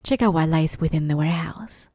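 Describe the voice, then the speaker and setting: A woman voicing a playful, sadistic vampire in an ASMR roleplay, teasing her captive with mock sweetness and quiet menace. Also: deep voice, fairly quick, a little hiss or hum, lightly acted. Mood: mocking, amused. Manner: teasing, mockingly sweet, menacing, often hushed. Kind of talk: ASMR roleplay, dramatic monologue.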